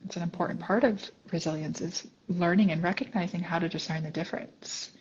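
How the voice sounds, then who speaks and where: A woman hosting a reflective conversation, speaking earnestly and warmly affirming her guest, recorded on a remote call mic. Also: on the low side, steady tone, brisk, breathy edge, lo-fi sound. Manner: earnest, affirming, many soft agreements. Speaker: podcast host.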